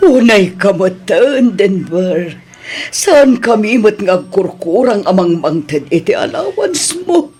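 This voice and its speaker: A woman with a Filipino accent playing a worried, scolding middle-aged mother with a husky voice in an audio drama. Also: medium pitch, sing-song, slow, dark tone, soft background music. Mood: stern, upset. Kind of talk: Ilocano radio-style drama acting.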